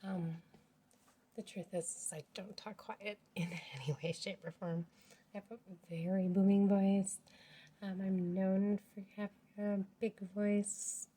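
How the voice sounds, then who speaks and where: Slow, hushed woman in her forties. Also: mid-range voice, level tone. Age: 40s. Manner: hushed, half-whispered talk; rambling, loses her words, laughs often. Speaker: family vlogger. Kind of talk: casual rambling vlog chat. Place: at home.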